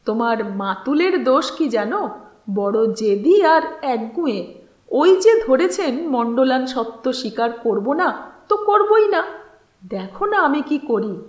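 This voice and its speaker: A woman voicing a shrewd, confident male estate steward who explains things emphatically and deliberately in an audio story. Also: sits high, expressive. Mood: confident, sly. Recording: roomy, echoing voice recording.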